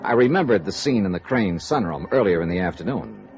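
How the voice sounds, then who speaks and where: A man's voice: low-pitched, sing-song, a little fast. A man playing a wry, hard-boiled insurance investigator in 1950s radio noir, clipped and probing. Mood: wry, narrating. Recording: dull vintage radio recording, music.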